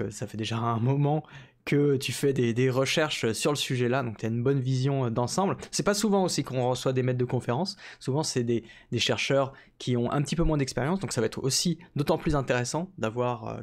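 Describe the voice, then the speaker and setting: A man's voice: medium pitch, fairly quick. A man hosting a livestream, introducing his guest in a hesitant way with many fillers and restarts. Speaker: livestream host.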